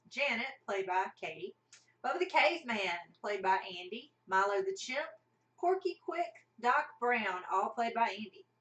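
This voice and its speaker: A woman's voice: fairly high pitch, a bit bright. A woman narrating a scripted comic radio play as part of an amateur cast. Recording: roomy, mic at a distance.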